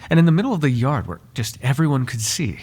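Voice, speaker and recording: A man's voice: medium pitch, big pitch swings, fast talker, a little mellow, slightly rough. A man playing a devoted, flirtatious butler in an intimate ASMR roleplay, speaking in formal, genteel phrases with playful teasing. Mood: curious, gossipy. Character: devoted, flirtatious, gossip-loving male butler to a noble.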